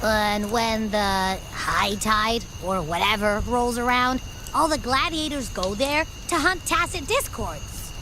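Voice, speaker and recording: A woman's voice: fairly high pitch, slow pace, background noise. A woman playing a carefree, food-loving young companion in a video game, talking in a playful, breezy, casual way. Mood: relaxed, casual.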